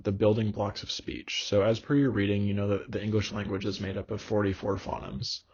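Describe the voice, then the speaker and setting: Man, lecturer, gravelly, husky, slightly low voice, measured explanations, dull lo-fi sound. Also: flat delivery, a little mellow. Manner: measured, explanatory, frequent ums and uhs. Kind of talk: recorded course lecture. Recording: dull lo-fi recording.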